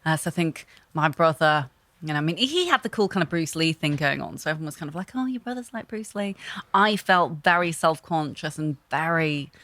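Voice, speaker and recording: A young woman talking as an interview guest, thoughtful and relaxed, often pausing with fillers and restarting. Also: sits low, no room sound. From Hong Kong. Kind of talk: casual interview talk.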